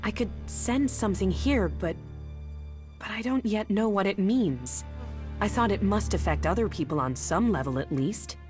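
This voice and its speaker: A woman's voice: mid-range voice, lively intonation, moderate pace, a little mellow, soft background music, lightly acted. An actress playing an earnest, intense woman seer in a video game, with a searching manner. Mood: strained, troubled. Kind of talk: video game cutscene acting.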